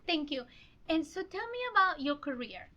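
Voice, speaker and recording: A woman hosts a relaxed interview, friendly and chatty. Her voice is bright and fairly high. The sound is a little dull and lo-fi. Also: normal speed. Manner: friendly, chatty, laughs often. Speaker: show host. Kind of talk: casual interview chat. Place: online show interview.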